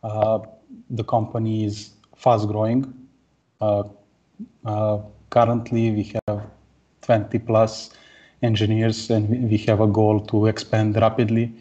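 A man, giving a tech conference talk over a video call in a plain, steady way with many pauses. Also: on the low side, dull audio. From Serbia. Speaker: conference speaker. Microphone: headset or laptop mic on video call.

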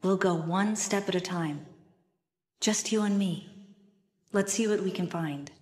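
A woman acting an earnest young heroine of a dark cartoon video game. She talks slowly and expressively, with determination, and her voice rings with long hall reverb. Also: medium pitch, lightly acted. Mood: gentle, reassuring.

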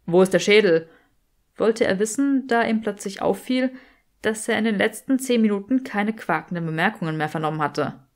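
A woman narrates a novel-style story for an audiobook. Her voice sits at an average pitch as she reads scripted prose. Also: moderate pace.

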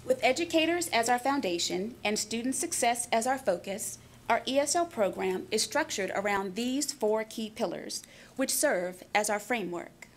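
A woman's voice: a little high, animated, moderate pace, slight background noise. A woman giving a scripted narration for a school division's program presentation.

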